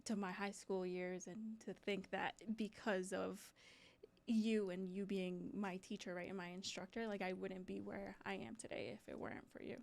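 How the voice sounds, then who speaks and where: A young adult woman co-hosting a studio talk show, lively and playful, with lots of "like"s. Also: medium pitch, moderate pace, gravelly. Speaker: co-host. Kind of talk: casual chat. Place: radio studio.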